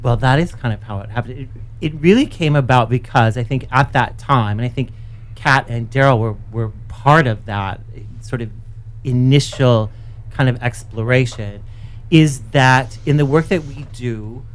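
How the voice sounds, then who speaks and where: A man hosting a morning radio show in a studio, chatty and a little halting, laughing easily. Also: medium pitch. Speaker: radio host. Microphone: studio microphone.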